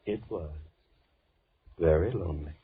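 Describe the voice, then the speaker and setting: An actor playing a lonely, sinister recluse with formal, overly polite, measured speech in an old radio horror drama. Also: male voice, sits low, husky, exaggerated voice. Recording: muffled, noisy old radio recording.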